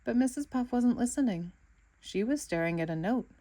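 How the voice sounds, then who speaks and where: A woman reading a children's storybook aloud in an expressive narrating style. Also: a little high, moderate pace. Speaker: storybook reader.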